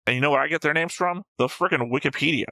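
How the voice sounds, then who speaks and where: A man hosting a casual reaction show in a bright, forceful voice with a gravelly edge. He is blunt and sweary, argues his points, and the sound is dry and close. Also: a little high, very fast, no room sound. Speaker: host of anime reaction show. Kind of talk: casual chat.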